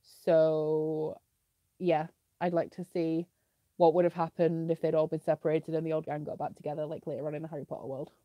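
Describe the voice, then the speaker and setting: Young british girl rambling through a live q&a, a bit sniffly, low-ish mellow voice, says like a lot. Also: level tone, brisk, no echo. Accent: British English. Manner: chatty, many "like" fillers. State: sniffly with a cough. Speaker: booktuber answering viewer questions. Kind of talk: casual live Q&A chat.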